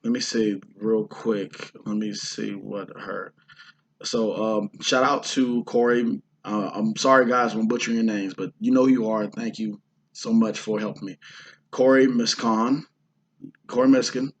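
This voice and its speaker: A hoarse man from the Houston area chatting casually about his indie film shoot, rambling with lots of fillers and restarts. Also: lowish voice, fairly even intonation, measured pace, a little hiss or hum. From Houston, Texas area. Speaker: indie filmmaker and actor. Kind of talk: casual vlog talk.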